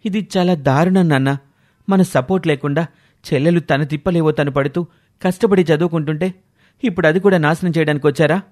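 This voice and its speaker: A man's voice: a little high, a little fast, a little mellow, pitched above the performer's own voice, natural voice. A man voicing a caring, indignant young son in a storybook tale, earnest and questioning.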